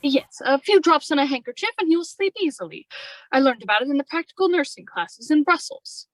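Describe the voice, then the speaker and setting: An anxious young wife with a scheming streak, from a radio mystery, played by a woman. The voice sits high and pleads earnestly. The delivery is persuasive, in a stagey domestic-drama way. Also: expressive, fairly quick, a bit bright, low-quality recording. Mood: pleading. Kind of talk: amateur radio drama acting.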